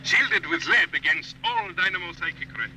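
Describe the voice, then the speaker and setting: A man's voice: on the high side, expressive, moderate pace, bright, forceful tone. A man playing a fanatical enemy propaganda announcer in a radio drama, declaiming pompously over shortwave. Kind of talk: 1950s radio acting, propaganda broadcast. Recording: crackly shortwave broadcast with noise.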